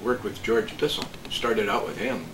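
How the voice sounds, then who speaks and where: An older man chats casually about old times in a halting way. His voice is fairly low. He sits a little off the mic, and there is clear noise in the recording. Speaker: interview guest, retired radio man. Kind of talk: casual reminiscing chat. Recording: noisy, distant mic.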